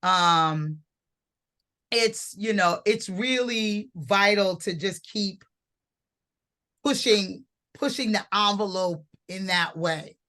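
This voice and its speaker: A woman's voice: medium pitch, slow, pushed and bright. A woman hosting a casual panel talk, earnest and rambling, with many restarts. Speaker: host.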